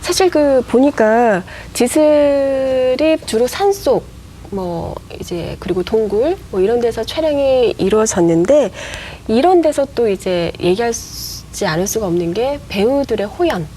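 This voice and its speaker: A woman hosting a broadcast talk show, asking her guests questions in a measured, polished way. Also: on the high side, lively intonation, warm tone, slight background noise. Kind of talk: broadcast studio interview. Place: TV studio.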